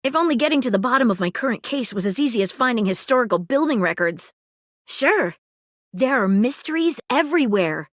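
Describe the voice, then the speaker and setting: A woman's voice: mid-range voice, a bit bright, dry recording, studio quality, natural voice. A woman voicing an eager, inquisitive young female detective in a video game, earnest and full of facts. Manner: earnest, inquisitive, fact-loving. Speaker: voice actor. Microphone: studio mic, dry booth.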